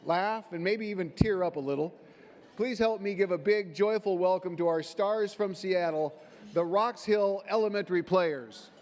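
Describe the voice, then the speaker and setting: A man's voice: high voice, darkish tone. A man giving a prepared stage introduction as event host, recorded live in a hall.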